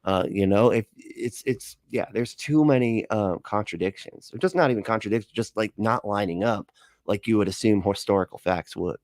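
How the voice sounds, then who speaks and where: Skeptical man with a lowish, close dry voice. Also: normal speed, a little mellow, dry recording. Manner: rambling, argumentative, skeptical. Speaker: host of an atheist reaction livestream. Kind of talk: casual reaction commentary.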